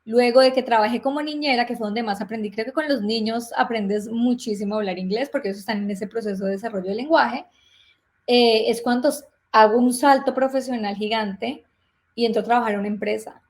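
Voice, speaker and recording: Guest, young Colombian woman, slightly high voice, chatty storyteller who acts out conversations. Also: fairly quick. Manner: lively storytelling, plays out remembered dialogue. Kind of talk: casual interview talk.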